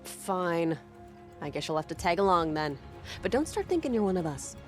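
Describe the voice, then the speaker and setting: Sharp-tongued young huntress, expressive delivery. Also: female voice, fairly low pitch, moderate pace. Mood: grudging, curt. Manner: blunt, sardonic. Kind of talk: video game cutscene acting. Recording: music behind the voice.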